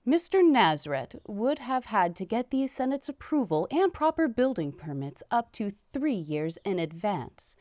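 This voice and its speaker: A woman voicing an officious, unyielding inspector, formal and clipped, in an animated comic dub with faint noise behind her. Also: mid-range voice, unhurried, few pauses, no room sound, clean studio sound. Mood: exacting. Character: officious, exacting female inspector, cool and unyielding.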